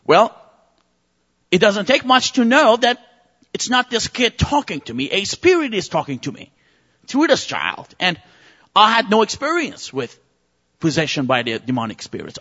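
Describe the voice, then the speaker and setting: Impassioned high-voiced male preacher, reverberant. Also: very animated, normal speed, bright, forceful tone. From Iran. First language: Persian. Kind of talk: sermon. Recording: muffled recording with long reverb.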